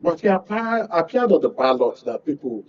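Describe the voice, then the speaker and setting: A man's voice: on the high side, big pitch swings, normal speed, distant mic, highs cut off, a little hiss or hum. A man with a Nigerian accent hosting and moderating a political discussion programme. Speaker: host. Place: radio and TV studio.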